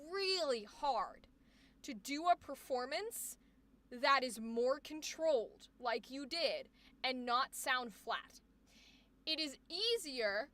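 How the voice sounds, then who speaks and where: A woman coaching voice actors on a live stream, reacting with effusive exclamations and quick asides. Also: high voice, pushed and bright. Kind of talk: live stream commentary on student recordings.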